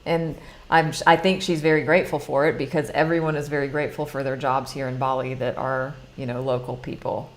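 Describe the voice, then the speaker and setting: A woman's voice: rumbling low, flat delivery, brisk, slight background noise. A middle-aged American woman telling a personal story in a casual live talk, chatty and earnest, with many restarts. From United States. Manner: earnest, confessional. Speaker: money mindset coach.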